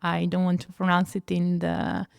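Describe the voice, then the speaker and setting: A young woman whose first language is Slovenian speaks English in an interview, often pausing to search for words. Her voice is lowish and smooth, and the close recording sounds dry. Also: completely flat delivery, no echo. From Italy. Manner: searching for words in English, hesitant, laughing easily. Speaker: winemaker guest. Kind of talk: interview conversation.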